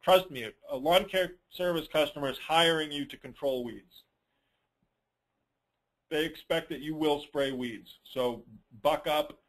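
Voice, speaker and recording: A man's voice: on the high side, a little slow, a bit bright, rough recording. A man coaching informally on a call, answering questions in a plain-spoken, advisory way with emphatic points. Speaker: lawn care business coach hosting a dealer call.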